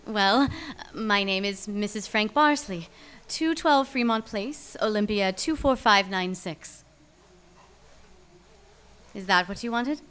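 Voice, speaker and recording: An anxious mystery lady from a noir radio play. Her voice sits at a medium pitch, and she speaks in short, guarded phrases with an urgent undertone. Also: slow pace, pauses often, a bit bright, smooth. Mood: guarded urgency. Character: mysterious, anxious married woman in trouble. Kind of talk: noir radio drama acting.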